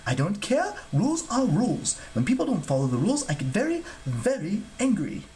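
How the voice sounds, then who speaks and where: Storybook role: pompous little rule-keeping god, played by a man, shrill and indignant, very animated. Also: fairly quick, a little hiss or hum. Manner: shrieking, indignant, officious. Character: fussy, indignant male statue god of rules.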